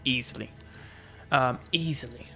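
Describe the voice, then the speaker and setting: Streamer type, gravelly voice, rambling and jokey over the game, faint music. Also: male voice, mid-range voice, unhurried, sharp tone. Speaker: gaming streamer. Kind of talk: casual gameplay commentary.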